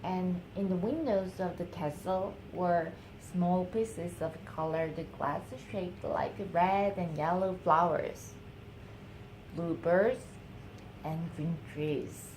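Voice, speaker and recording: A woman with a Korean accent, a native Korean speaker, reading a storybook aloud to her baby in affectionate, cooing baby talk with drawn-out repeated words. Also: lowish voice, darkish tone, slight background noise, poor audio. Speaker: mother reading a picture book to her baby.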